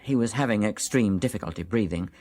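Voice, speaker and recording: An actor playing an uneasy, reserved physician with an English accent and a clipped, hesitant manner in a radio drama. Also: male voice, fairly low pitch, fairly quick, darkish tone, natural voice. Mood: worried.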